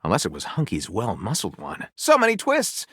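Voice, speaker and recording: Flamboyant, pompous storyteller with wild swoops. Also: male voice, lowish voice, wildly swooping pitch, normal speed, pushed and bright, slightly rough, no room sound, clean studio sound, exaggerated voice. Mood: excited, pompous. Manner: grandiose, melodramatic narrator delivery with gushing exclamations. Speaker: voice actor playing a game character. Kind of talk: theatrical storytelling, video game voice lines.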